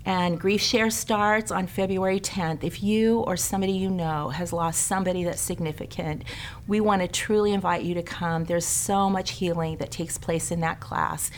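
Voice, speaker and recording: A woman's voice: lowish voice, steady tone, normal speed, slight background noise. A middle-aged woman, a care ministry director, giving church announcements in an earnest, inviting way.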